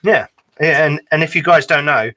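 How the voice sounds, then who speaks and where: A man co-hosting a live tabletop game discussion show, talking with eager enthusiasm, laughing often and quick to agree. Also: on the high side, pushed and bright. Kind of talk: casual live-stream chat.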